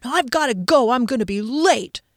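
A woman voicing a self-important, highly strung businessman, abrupt and urgent, in a told anecdote. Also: expressive, moderate pace, no room sound, clean studio sound. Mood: frantic, bossy.

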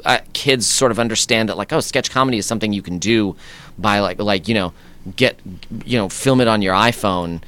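Young man, Bay Area raised, interview guest; smooth resonant low voice, loose and chatty. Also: brisk, a bit bright. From San Francisco Bay Area. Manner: easygoing, many fillers and restarts, laughs often. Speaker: guest comedian. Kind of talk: casual interview conversation.

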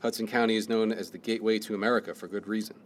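A man's voice: fairly low pitch, fairly even intonation, rapid-fire, slight background noise. A man hosting a radio interview, reading a prepared intro and then asking casual questions. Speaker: host, interviewer.

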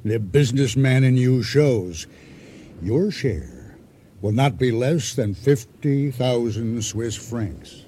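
A man acting a boastful, scheming sea-adventurer and hijacker in a radio drama, with a deliberate, insinuating delivery full of dramatic pauses. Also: medium pitch, expressive, mellow, a little hiss or hum. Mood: persuasive, scheming. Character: boastful, scheming male sea-adventurer turned ship hijacker, silkily persuasive.

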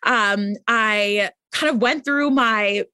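A woman's voice: fairly high pitch, slow pace, pushed and bright, clear, resonant tone, dry recording, studio quality. A woman in her thirties talking as a guest over a video call, chatty and upbeat, with lots of casual fillers. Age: 30s. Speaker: guest, mental health advocate.

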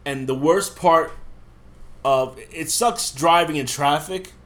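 A man's voice: on the high side, slow pace, a bit bright. Coughing sick man, rambling casual chat. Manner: rambling, swears often. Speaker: podcast co-host.

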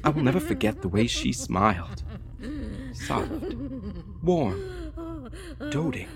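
A man's voice: mid-range voice, wildly swooping pitch, slow pace, crowd noise, heavily acted. An actor playing a frightened young male courier, narrating his captivity solemnly and theatrically in an audio play. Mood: dread, awe. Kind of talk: dramatic first-person narration in audio play. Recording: clear ambient noise behind voice.

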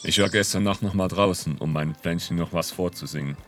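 A spaced-out hermit in his fifties, acted by a man, sells dope from the woods. His voice is deep, and he rambles on in a matter-of-fact way. It is a comic audio play with faint background music. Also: fairly quick, a bit bright. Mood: spaced-out, friendly. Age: 50s. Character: spaced-out fifty-year-old hermit dope dealer in the woods. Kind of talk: comedic audio drama acting.

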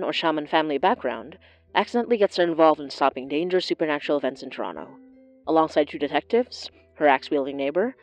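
A woman's voice: deep voice, a little mellow, lo-fi sound. A woman podcast creator giving a scripted promo for a show.

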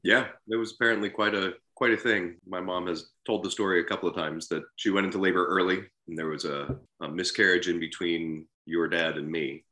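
A man in his forties, a guest on a video call, chatting casually with his nieces and telling stories in a relaxed way. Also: low voice, brisk, few pauses, echoey room. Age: 40s. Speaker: podcast guest. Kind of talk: casual family chat.

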